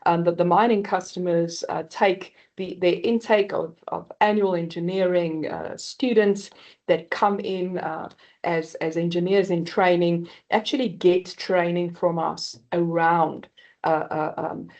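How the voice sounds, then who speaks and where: A South African woman in her fifties speaking on a conference panel, halting with many fillers and restarts, often calling the audience guys. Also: on the low side, steady tone, a little mellow, highs cut off.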